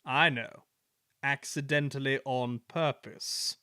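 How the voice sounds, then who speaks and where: A man voicing a stern, pompous male railway boss who talks in a commanding, brisk way. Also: medium pitch, steady tone, pushed and bright, clean tone, no room sound, studio quality.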